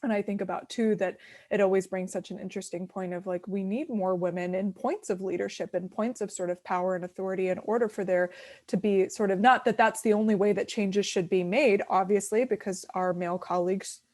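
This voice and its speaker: A woman's voice: medium pitch, steady tone, fairly quick, darkish tone. A woman academic with a stuffy, congested nose from a cold, talking thoughtfully in a panel discussion. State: sick with a cold.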